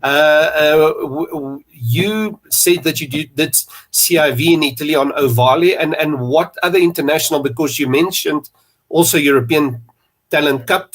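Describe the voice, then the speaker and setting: A South African man hosting a talk interview in a loose, rambling way, full of fillers and restarts. His voice sits a little high, and he keeps circling back as he tells his stories. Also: fairly bright tone. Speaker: podcast host. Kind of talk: conversational interview.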